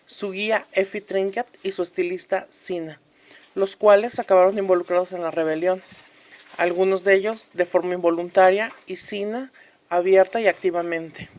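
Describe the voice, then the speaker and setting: A woman's voice: on the low side, steady tone, moderate pace, a little mellow, lightly acted. A woman playing an earnest commentator from a fictional republic in a radio drama, speaking solemnly and emphatically in scripted interview style. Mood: earnest, reflective.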